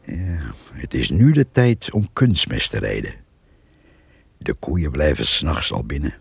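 A very deep, gravelly farmer. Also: male voice, very animated, no echo, full character voice. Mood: matter-of-fact. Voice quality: gravelly, husky. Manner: slow, musing, grumbling. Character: gruff rural farmer narrating his diary, gossipy and wry. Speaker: narrator. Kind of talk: radio drama monologue.

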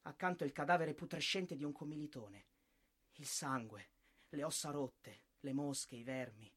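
An actor playing a bitter, disillusioned young soldier killed in war, in a husky, theatrical monologue. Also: male voice, fairly high pitch, normal speed, a bit bright. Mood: bitter, anguished. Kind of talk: dramatic stage monologue, radio drama.

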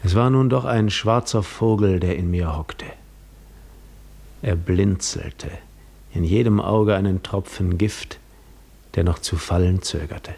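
An actor playing a weary, wounded seafarer in an introspective, brooding monologue for 1950s German radio drama. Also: male voice, low voice, slow pace, gravelly, darkish tone, slightly rough, full character voice. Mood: brooding, dreamy. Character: weary wounded seafarer recalling a dreamlike waking.

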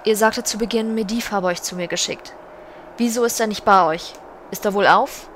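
A woman's voice: medium pitch, normal speed, lightly acted. A woman playing a regal, stern undead elf queen in a fantasy parody audio drama, speaking with formal, archaic courtesy. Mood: regal, composed. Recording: background noise.

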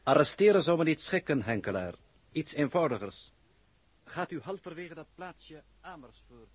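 A man's voice: on the high side, sing-song, normal speed. Curt male schemer, noisy recording. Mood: cold, menacing. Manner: clipped, cold, commanding. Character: curt, secretive male villainous boss giving orders. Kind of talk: 1960s radio drama acting. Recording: noisy old radio recording, clicks.

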